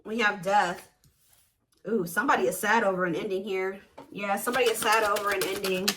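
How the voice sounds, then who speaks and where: A woman's voice: medium pitch, steady tone, fairly quick, noisy background. A woman giving a casual, intuitive tarot reading to viewers, talking in an emphatic, conversational way and repeating herself for emphasis. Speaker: tarot reader, host.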